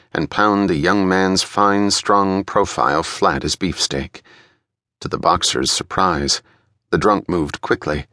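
A man's voice: deep voice, bright, forceful tone, no echo, studio quality. A man reading a thriller audiobook as narrator in a dramatic, scripted storytelling style.

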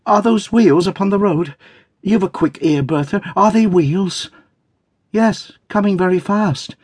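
A man voicing an excitable, affectionate young wife in a storybook, with a breathless, gushing manner. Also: high voice, a little slow, no room sound, clean studio sound, voice pushed up. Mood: fluttered, breathless. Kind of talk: dramatic storybook character reading.

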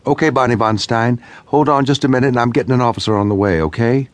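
Guy putting on a brisk young lady dispatcher, higher pitched, louder, pushy, keeps asking questions. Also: no echo, close to an everyday voice. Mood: urgent. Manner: urgent, insistent questioning.

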